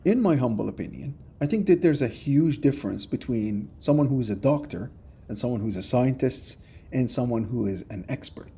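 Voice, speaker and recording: A man giving a measured, explanatory commentary for a response video, recorded on a phone. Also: mid-range voice, expressive, warm tone, breathy edge.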